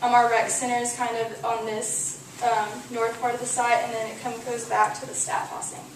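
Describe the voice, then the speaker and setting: A woman's voice: on the high side, little pitch movement, moderate pace. A young woman from Texas, a student architect, presenting a class project in a loose, conversational way with lots of fillers. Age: young adult. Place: echoey presentation room. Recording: echoey room from far off, noisy.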